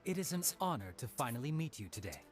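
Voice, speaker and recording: A man voicing a lively, chatty detective. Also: mid-range voice, fast talker, faint music behind, natural voice. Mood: eager. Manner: eager, chatty, animated. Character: friendly chatty male detective agency worker. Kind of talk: video game dialogue.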